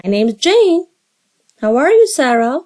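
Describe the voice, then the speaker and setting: Female English teacher with a high voice, leading repeat-after-me practice. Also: very animated, darkish tone. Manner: slow, carefully spaced drill reading. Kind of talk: repeat-after-me dialogue drill.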